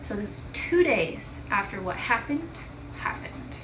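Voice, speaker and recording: A woman's voice: medium pitch, very animated, measured pace, distant mic. A woman teacher reading a children's story aloud expressively, recorded in an echoey, noisy room. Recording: echoey room with clear background noise.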